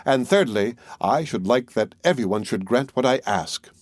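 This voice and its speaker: A man's voice: medium pitch, animated, moderate pace, no room sound, clean studio sound, natural voice. A man voicing an honest, merry countryman in a fairy tale, plain-spoken in manner. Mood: pleased. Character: honest, kind male countryman, merry and bold. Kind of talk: fairy tale audiobook character voice.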